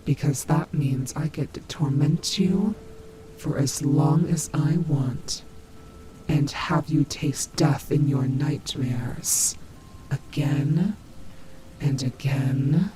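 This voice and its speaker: A woman's voice: a bass voice, noisy background. A woman voicing a menacing, taunting nightmare animatronic rabbit in a scripted audio roleplay, with a mocking, theatrical delivery. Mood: mocking, gloating. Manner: slow, mocking, theatrical drawl with whispered asides.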